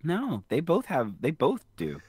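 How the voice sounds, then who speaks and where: A man's voice: fairly high pitch, huge pitch swings, measured pace, darkish tone, breathy edge, no echo. A man from the American South co-hosting a podcast in casual, chatty film talk with a wry manner. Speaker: podcast co-host. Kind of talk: casual film recap chat.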